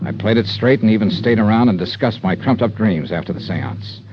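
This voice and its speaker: Radio detective narrator, male actor: American, wry, dramatic, expressive intonation, narrow vintage broadcast sound. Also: lowish voice, brisk, noisy background, lightly acted. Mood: wry, intrigued. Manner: dry, dramatic, clipped storytelling. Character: wry hard-boiled male investigator narrating his case. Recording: old radio broadcast, limited band. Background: dramatic music.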